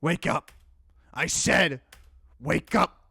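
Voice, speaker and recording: A man voicing a scornful, impatient companion from a horror visual novel, giving forceful orders. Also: a little high, slow pace, vocal fry, slightly rough, no room sound, exaggerated voice. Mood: stern, menacing. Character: commanding, menacing nightmare companion, scornful and impatient.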